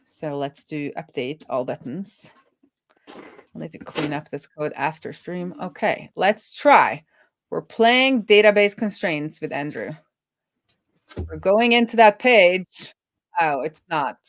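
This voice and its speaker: A woman with a slightly low voice gives a casual running commentary while coding on a live stream. She thinks aloud as she works. The sound is close and dry, with little room on it. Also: expressive, slow pace, dry recording. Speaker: live-streaming web developer.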